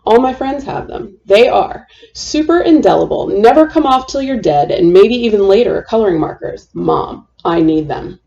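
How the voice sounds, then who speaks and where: A woman's voice: mid-range voice, lively intonation, normal speed, gravelly, few pauses, dark tone, highs cut off. A woman voicing a stubborn, pleading little girl in a children's storybook. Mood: pleading, insistent. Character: bored, stubborn, pleading little girl who loves markers. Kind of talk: children's storybook read-aloud.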